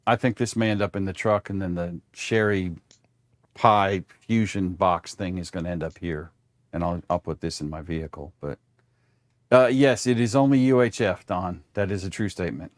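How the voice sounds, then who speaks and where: A man's voice: low voice, moderate pace, dry recording, clean studio sound. A man guesting on a livestream, explaining tech gear in a matter-of-fact, informal way. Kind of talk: casual explanatory tech chat.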